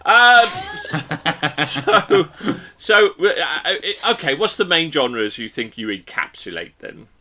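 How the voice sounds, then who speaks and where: A man's voice: fairly high pitch, very animated, takes it slowly, bright, forceful tone. A male podcast host leading a joking interview chat, playful and teasing, rambling with fillers.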